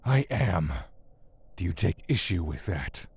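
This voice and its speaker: An actor playing a brooding, stoic god of death in an audio drama, speaking deliberately. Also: male voice, low-pitched, sing-song, gravelly, darkish tone, breathy edge, exaggerated voice. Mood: cold, curt.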